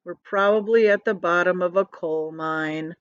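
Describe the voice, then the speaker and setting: A woman's voice: fairly low pitch, unhurried, smooth, no room sound, muffled recording, close to an everyday voice. A woman voicing a gloomy, complaining earthworm from a children's storybook. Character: gloomy, grumbling, fed-up earthworm.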